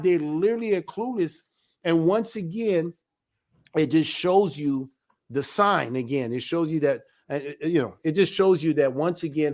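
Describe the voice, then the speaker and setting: A man, an elder of a ministry, giving a religious teaching talk in an emphatic, animated way. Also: on the high side. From United States.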